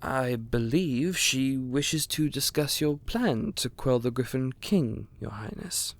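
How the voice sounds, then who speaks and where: A loyal royal messenger, acted by a man for an audio roleplay, solemn and guarded. He speaks slowly and formally, holding himself back in a hushed, strained tone. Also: medium pitch, animated.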